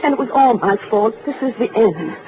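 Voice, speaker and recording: A woman's voice: fairly high pitch, expressive, normal speed, natural voice. Woman acting a melodramatic flighty wife, swooping voice. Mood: flustered. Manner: dramatic, scatterbrained. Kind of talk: 1940s radio drama acting. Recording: noisy old radio recording.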